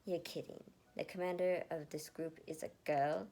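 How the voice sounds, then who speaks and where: A woman's voice: sits low, fairly even intonation, moderate pace, mellow. A woman voicing a sarcastic, anxious young woman who poses as a male warrior in a story. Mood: incredulous.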